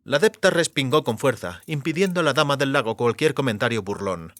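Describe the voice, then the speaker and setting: A man's voice: mid-range voice, lively intonation, brisk, a bit bright, no echo. Guy narrating a fantasy audiobook, mid-range voice, very dry close recording. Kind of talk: scripted literary narration.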